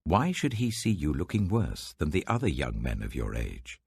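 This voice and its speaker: A man's voice: extremely low pitch, wildly swooping pitch, moderate pace, vocal fry, darkish tone, breathy edge, heavily acted. A British man voicing an anxious, cautious palace official who fears his king, in a scripture audiobook. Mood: fearful.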